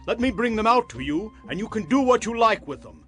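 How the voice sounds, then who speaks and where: A humble, anxious man pleads earnestly in a fairly high male voice. Music sits behind this biblical drama performance. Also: animated, brisk, fairly bright tone. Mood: desperate pleading. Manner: earnest, imploring. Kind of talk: dramatized scripture audio drama. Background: background music.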